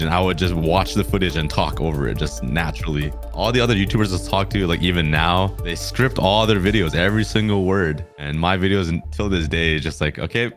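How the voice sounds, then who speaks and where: Deep-voiced young man, loose and rambling. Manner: relaxed, rambling with fillers and restarts. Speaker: guest, gaming creator. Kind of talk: casual interview answers.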